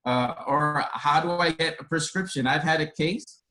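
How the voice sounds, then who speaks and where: A man's voice: on the high side, moderate pace, bright, forceful tone, poor audio. A man from Boston whose first language is Spanish, a care resource coordinator on a video call. He answers a webinar panel question earnestly and reflectively, in long winding sentences. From Boston, United States.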